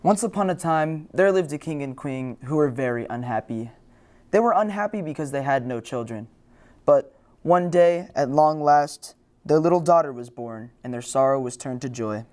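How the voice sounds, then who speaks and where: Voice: medium pitch, animated, normal speed, darkish tone. A storyteller reading a scripted fairy tale as narration.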